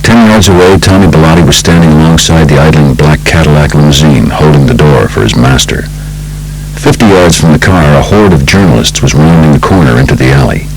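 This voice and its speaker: Very deep-voiced American male audiobook narrator. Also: music in the background, rough recording. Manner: measured, polished storytelling delivery. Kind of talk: scripted narration of true crime book.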